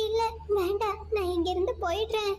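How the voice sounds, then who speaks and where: Tiny, very high-pitched mother sparrow. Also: steady tone, brisk, a little mellow, a little hiss or hum. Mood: pleading. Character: gentle, caring mother sparrow and her chirpy young chicks. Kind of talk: cartoon moral-story voice acting.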